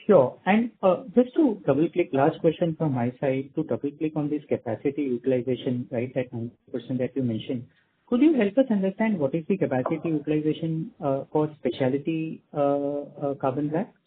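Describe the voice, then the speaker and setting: A man's voice: on the high side, expressive, a little fast, slight background noise. A male analyst with an Indian accent politely asking questions on a business call, often checking his phrases with a questioning tag. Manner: polite, tags phrases with "right?". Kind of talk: spontaneous questioning on an earnings call. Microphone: phone call. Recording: thin narrowband phone line.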